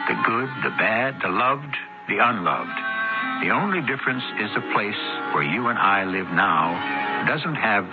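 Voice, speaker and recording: Low, dramatic male radio host. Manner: measured, ruminative. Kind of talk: dramatic scripted narration. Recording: muffled old radio recording.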